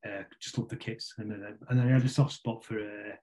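A middle-aged man with a Manchester accent, chatting casually as an interview guest in a rambling, halting way full of tag questions. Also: on the low side, flat delivery, darkish tone. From Manchester, England. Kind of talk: casual conversational football chat.

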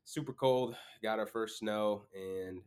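A man's voice: fairly low pitch, takes it slowly, a little mellow, smooth. A man with a stuffed-up, sniffly nose hosts a solo podcast, talking casually and conversationally with frequent ums and uhs. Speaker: podcast host.

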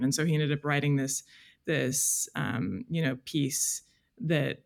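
A woman speaking as an interview guest, explaining things conversationally in a halting, careful way. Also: sits low. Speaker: guest, freelance journalist. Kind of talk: thoughtful conversational interview answers.

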